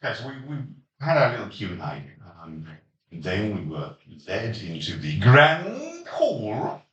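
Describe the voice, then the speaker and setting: A man's voice: on the low side, huge pitch swings, measured pace, fairly bright tone, highs cut off. A man hosting a casual podcast chat, recapping a game session with hesitant ums and dry jokes. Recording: roomy echo, distant mic.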